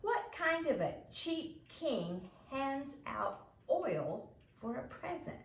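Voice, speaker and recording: A brash, indignant girl from a storybook, voiced by a woman reader, blunt and loud. Her pitch swings widely, and the room rings around her. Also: sing-song, takes it slowly, gravelly, full character voice. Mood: loud indignation. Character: brash, loud, bossy girl from a rough family. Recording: roomy echo, faint background noise.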